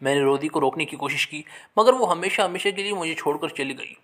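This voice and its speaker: A man's voice: fairly high pitch, fairly quick, fairly bright tone. A man narrating a scripted first-person story in a dramatic, emotional manner.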